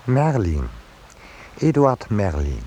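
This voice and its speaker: A gentle, unworldly man who paints landscapes and is dryly unimpressed by everything. His voice sits on the low side, courteous and reserved, in vintage radio drama style with faint background noise. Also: very animated, slow. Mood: mildly irritated. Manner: dry, polite, reserved. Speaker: actor in a radio play. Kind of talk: 1950s German radio play acting. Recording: noisy 1950s radio drama recording.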